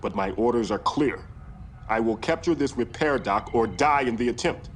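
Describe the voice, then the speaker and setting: A man's voice: mid-range voice, moderate pace, a little hiss or hum, natural voice. A man playing a dutiful young Klingon captain in a video game, speaking formally, firmly and with restraint. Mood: resolute. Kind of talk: video game cutscene acting.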